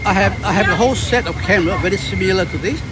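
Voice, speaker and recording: A man's voice: high-pitched, racing, very noisy. A man in his seventies with a Malaysian accent, talking casually and often repeating his words. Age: 70s. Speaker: interview guest. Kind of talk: casual conversation. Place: outdoors at a park gazebo gathering. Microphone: phone held by the interviewer. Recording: loud outdoor crowd chatter.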